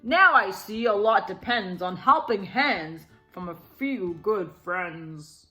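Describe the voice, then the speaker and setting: A woman voicing a big, self-important male dump truck, loud and blustering, in a children's picture book. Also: medium pitch, lively intonation, slow, faint music behind, voice pushed down. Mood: grateful, humbled.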